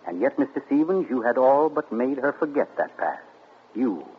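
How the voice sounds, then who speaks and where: An actor playing a suave, gloating male amateur sleuth in 1940s radio acting, theatrical and deliberate. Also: medium pitch, animated, husky, narrow, tinny audio. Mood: smug. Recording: scratchy 1940s radio broadcast.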